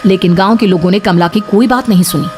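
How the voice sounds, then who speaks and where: Female narrator, medium pitch, dramatic scripted horror storytelling, clear background music. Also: fast.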